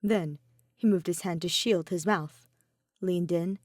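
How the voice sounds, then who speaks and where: A woman's voice: lowish voice, a little slow, a little mellow, no room sound, studio quality. A woman reading a scripted piece of fan fiction as its narrator. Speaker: podfic narrator.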